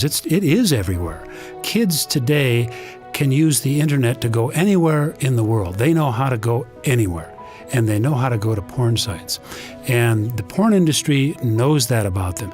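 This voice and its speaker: A man's voice: mid-range voice, a little mellow. A man speaking as a guest on a radio talk show, explaining things earnestly. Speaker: interview guest. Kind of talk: conversational radio interview.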